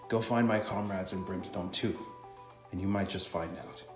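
Man reading as a defiant low-voiced enemy soldier. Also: fairly even intonation, moderate pace, natural voice. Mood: menacing, defiant. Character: defiant, threatening enemy soldier of a secret syndicate. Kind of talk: visual novel dialogue read aloud. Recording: roomy sound with music.

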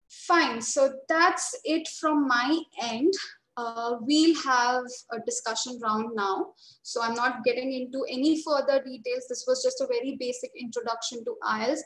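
A young woman with an Indian English accent teaches a webinar in a high, clear voice. She explains steadily and reassuringly. Her voice comes through a video call with a roomy echo. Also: a bit bright. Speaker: IELTS trainer.

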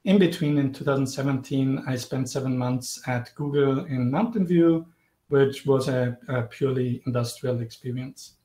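A man in his forties from Austria with an Austrian accent, giving a clear, lightly humorous guest lecture over a video call. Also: medium pitch, normal speed, dark tone. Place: indoors, home or office, virtual background. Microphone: video call webcam. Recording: roomy video call audio.

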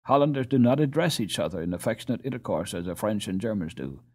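A man narrating a storybook as an audiobook reader, in an expressive scripted style. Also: on the low side, fast, husky.

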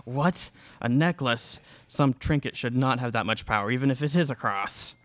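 A man's voice: mid-range voice, normal speed, a bit bright, dry recording, lightly acted. A young man playing an arrogant, taunting vampire villain in a live radio play. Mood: mocking. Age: young adult. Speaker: student radio play performer. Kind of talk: live radio theatre acting. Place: small classroom studio. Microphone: stand microphone, close.

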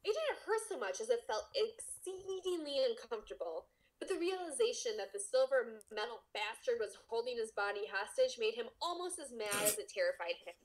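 Lively, higher-pitched woman reading aloud. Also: a little fast, low-quality recording. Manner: playful, expressive. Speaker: podcast co-host reading a story aloud. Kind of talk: casual read-aloud with banter.

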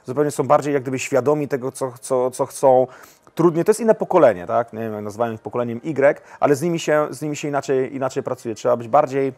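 A man's voice: mid-range voice, fast, darkish tone. A man in his forties, a football coach, answering interview questions in a lounge, often repeating words and restarting his sentences. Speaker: national women's team coach. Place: lounge with armchairs, indoors.

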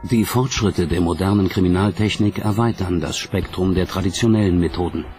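A man's voice: sits low, brisk, a little mellow, a little hiss or hum. A man reading scripted documentary narration as the narrator. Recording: music bed under narration. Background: background music.

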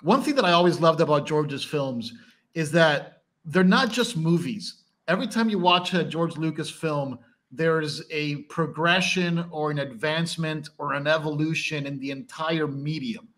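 Eager higher-voiced male podcast host. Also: moderate pace, a bit bright. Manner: enthusiastic, eager questions. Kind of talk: casual video call interview. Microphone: video call.

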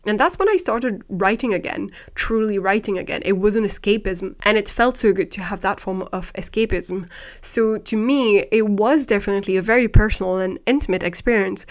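A young woman talks into a close desk microphone in a book-lined room at home. English is not her first language, and she chats animatedly and thoughtfully with real enthusiasm. Her voice sits at a middling pitch for a woman. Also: brisk. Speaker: vlogger. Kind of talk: casual chat, reading out viewer answers. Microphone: desk microphone close to the mouth.

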